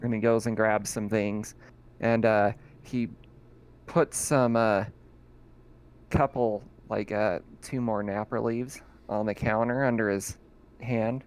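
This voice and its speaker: Slow, smooth-voiced man running a game. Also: lowish voice, fairly even intonation. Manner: loose and chatty, many fillers and restarts. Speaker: game master. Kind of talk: running a tabletop game over a call.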